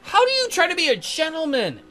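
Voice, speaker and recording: A man's voice: very high voice, huge pitch swings, a little fast, sharp tone. A man hosting a let's play, reading out a visual novel and mocking it with crude joking commentary.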